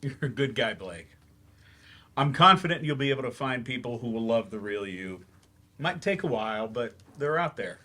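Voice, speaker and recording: A man playing an older remedial English teacher in a staged play reading, gentle, reassuring and gently self-deprecating as he explains things. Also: medium pitch, lively intonation, normal speed.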